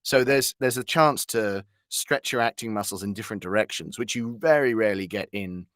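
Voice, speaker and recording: A British man giving a conversational interview as a voice actor, telling anecdotes in an animated, halting way with self-corrections. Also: on the low side, dry recording, studio quality.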